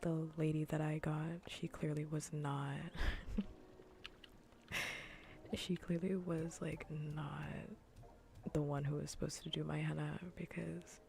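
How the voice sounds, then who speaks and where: Soft, whispery low-voiced woman streamer. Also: flat delivery, takes it slowly, creaky, long pauses. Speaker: livestream host. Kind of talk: casual ASMR stream chat.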